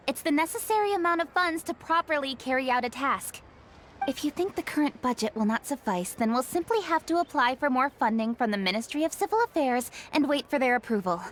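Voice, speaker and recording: A woman playing a diligent young female official in a video game, earnest and firm, with a crisp, businesslike manner. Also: high-pitched, a little hiss or hum.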